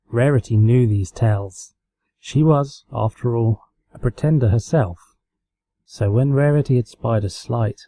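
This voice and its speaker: Dark, husky British man reading a story. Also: medium pitch, rough recording. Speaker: amateur fan fiction reader. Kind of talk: scripted story narration, read aloud.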